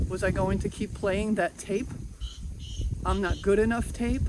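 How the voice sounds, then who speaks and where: A middle-aged woman from New York giving an earnest, encouraging inspirational talk outdoors. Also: medium pitch. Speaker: video host. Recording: outdoor noise in background.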